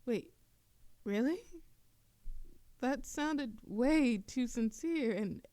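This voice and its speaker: A woman playing an affectionate, playful and easily flustered young witch in love, speaking softly and haltingly in an intimate romantic audio roleplay. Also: a little high, animated, no echo. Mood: teasing, affectionate. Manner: soft, halting, teasing, giggly.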